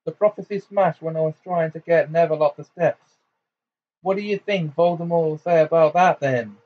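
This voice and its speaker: A man voicing an angry, defiant teenage boy wizard in an audiobook, loud, mocking and goading. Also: on the high side, unhurried, highs cut off.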